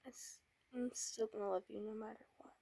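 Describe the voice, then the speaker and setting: A woman voicing an anxious, tender young boyfriend in a whispered romance roleplay, speaking softly with nervous stammers. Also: fairly high pitch, fairly even intonation, normal speed, creaky, husky, dry recording. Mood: tender reassuring.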